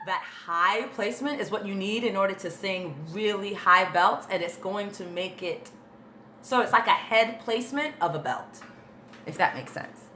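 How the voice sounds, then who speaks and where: A woman's voice: mid-range voice, moderate pace, noisy background. A woman vocal coach in a casual livestream Q&A, reading viewer questions and answering them in a chatty, informal way, with patient step-by-step explanations. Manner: chatty and informal, with many fillers.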